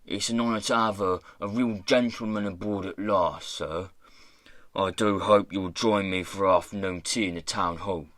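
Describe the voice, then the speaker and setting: Guy reading as rough pirate boss in a storybook, bossy and blustery, kinda grovelly with posh folk. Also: low voice, fairly even intonation, normal speed, fairly bright tone, no echo, pitched below the performer's own voice. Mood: humbly fawning. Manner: working-class turns of phrase, swings from threats to humble politeness. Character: bellowing, rough pirate mayor, fawning over gentlemen.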